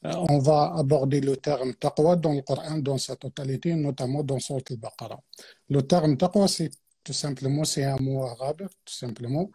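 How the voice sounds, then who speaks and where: Man, soft and husky. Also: medium pitch, moderate pace. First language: Arabic. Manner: hesitant, many fillers and restarts. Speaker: guest teacher. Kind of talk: explanatory religious talk on a live stream.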